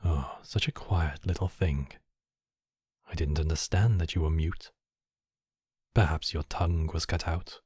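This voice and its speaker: Casting: male actor as demon king, extremely low husky register, possessive yet compassionate, regal formal delivery for ASMR roleplay. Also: fairly even intonation, darkish tone, no echo, clean studio sound, full character voice. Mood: cool, probing. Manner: slow, regal, formal phrasing. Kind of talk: intimate ASMR roleplay acting.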